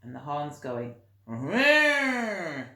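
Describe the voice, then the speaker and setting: Expressive woman storyteller, slow and low-pitched. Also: reverberant. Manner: expressive, questioning, addressing children directly. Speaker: teacher reading a storybook to pupils. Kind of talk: animated children's storytelling with friendly asides.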